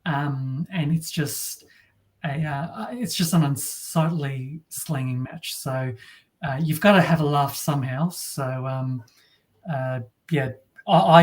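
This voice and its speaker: A woman from Australia with a deep voice, talking as an interview guest in a wry, halting way with many restarts. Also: darkish tone. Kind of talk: casual interview talk.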